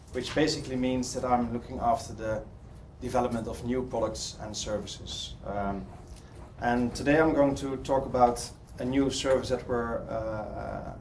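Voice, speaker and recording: A man's voice: medium pitch, darkish tone, slight background noise. A man from the Netherlands with a Dutch accent, giving a hesitant conference presentation full of ums and restarts. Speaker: conference speaker. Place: conference hall.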